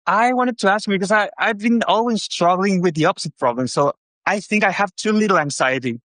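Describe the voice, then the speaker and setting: A man's voice: high-pitched, fairly quick, a bit bright, dry recording. A man in his thirties with a Chilean Spanish accent, explaining himself to a host in hesitant second-language speech. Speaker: caller. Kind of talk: conversational, explaining.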